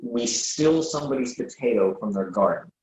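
A man's voice: medium pitch, animated, moderate pace, a little mellow, natural voice. A man reading the part of a reasonable, exasperated friend in a late-night comic dialogue, hesitant and stammering in disbelief. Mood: incredulous. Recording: roomy, dull lo-fi sound.

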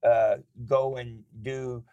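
A man's voice: medium pitch, steady tone, extremely slow, mellow, dull audio. A middle-aged man, a pastor and father, talking casually about faith with his adult daughter and telling family stories.

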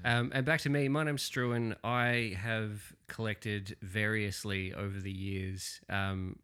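A man's voice: fairly low pitch, steady tone, takes it slowly, pushed and bright, smooth. An Australian man hosting a casual group podcast, chatty and self-deprecating, laughing often. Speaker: podcast host.

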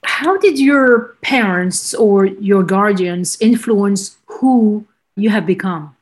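A woman's voice: mid-range voice, takes it slowly. A woman hosting an interview podcast, delivering prepared introductions and questions.

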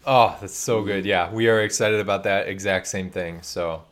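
A man's voice: deep voice, steady tone, normal speed, slight background noise. A man who is a priest hosting a podcast, chatting casually with an enthusiastic, slangy, informal manner.

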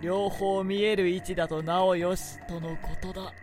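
A woman's voice: on the low side, fairly even intonation, normal speed, darkish tone, close to an everyday voice. A woman playing a haughty, short-tempered young prince in a radio drama, giving brusque, imperious orders. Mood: grudging explanation. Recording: studio drama with background music.

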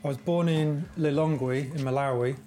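A man in his forties with a middle-pitched voice answers interview questions in a studio. He speaks in a calm, easygoing way, pausing with small fillers as he thinks. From East Midlands, England. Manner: easygoing, thoughtful, hesitant fillers. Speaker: illustrator and artist guest. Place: podcast studio.

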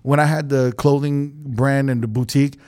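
A man's voice: mid-range voice, fairly even intonation, moderate pace, darkish tone. A young man hosting a podcast, chatting loosely with lots of fillers and swearing. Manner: loose, profane, lots of "like" and "you know". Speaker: podcast host. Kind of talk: casual podcast chat.

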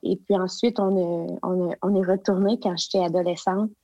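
A woman in her forties from Quebec, with a Quebec French accent, chatting as an interview guest and pausing often with fillers and restarts. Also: fairly low pitch, fairly even intonation, dark tone, no echo, dull audio. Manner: hesitant, many fillers and restarts. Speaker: guest, provincial education consultant. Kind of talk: casual interview conversation.

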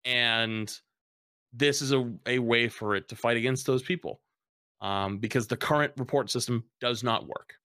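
Young man, streamer, casual panel talk, blunt, swears a lot, bright voice, dry sound. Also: mid-range voice, no echo.

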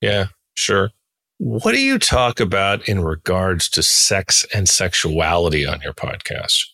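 A man's voice: low-pitched, sing-song, a little slow, gravelly, bright, forceful tone, no room sound. A man hosting a casual interview podcast in a laid-back, conversational way. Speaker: podcast host.

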